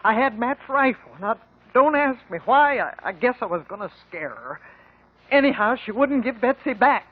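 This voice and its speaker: Husky, high-voiced rural American mother. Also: expressive. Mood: distressed confession. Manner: halting, plain folksy speech. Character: rural older mother confessing to a shooting. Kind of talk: 1950s radio drama acting. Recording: muffled 1950 radio recording.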